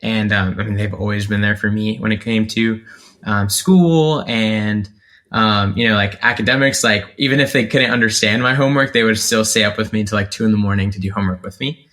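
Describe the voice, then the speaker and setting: A man's voice: on the low side, fairly quick, a bit bright. A man from North Carolina, a content creator talking about himself as an interview guest in a reflective way, with many fillers and restarts. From North Carolina, USA. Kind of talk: casual interview talk.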